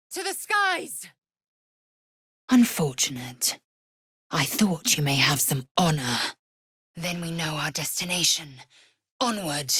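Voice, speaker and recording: Game casting: stern, haughty alien warrior woman, very breathy rasp, measured pace, formal and commanding. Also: low voice, fairly bright tone, dry recording, clean studio sound, exaggerated voice. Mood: fierce, forceful. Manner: clipped, imperious, formal phrasing. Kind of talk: dramatic fantasy video game voice acting.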